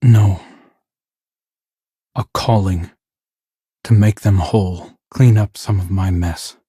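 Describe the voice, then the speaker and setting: A man's voice: low voice, slow pace, mellow, slightly rough, dry recording, studio quality, heavily acted. A man playing a remorseful young guardian in a game, speaking in an earnest, introspective and confiding way. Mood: earnest resolve. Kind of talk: dramatic game voice acting.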